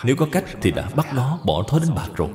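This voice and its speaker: Earnest elder brother , a man acting, lively intonation. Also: mid-range voice, fast, crowd noise, natural voice. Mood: worried. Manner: earnest, admonishing. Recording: long hall reverb.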